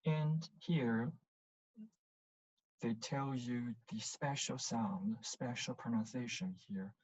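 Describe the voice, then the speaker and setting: A language teacher gives a pronunciation lesson in a slow, measured way. The delivery is instructive, with syllables drilled one by one and short checking questions along the way. The audio is somewhat dull and lo-fi. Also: mid-range voice, darkish tone, slightly rough.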